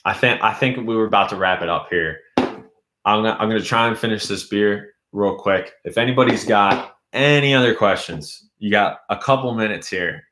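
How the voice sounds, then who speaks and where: A man's voice: lowish voice, normal speed, sharp tone, reverberant. A young man chatting loosely on a live stream, reading out viewer comments and saying his voice is going. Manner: loose, rambling, reads chat aloud. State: losing his voice. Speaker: YouTube host. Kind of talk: casual live-stream chat.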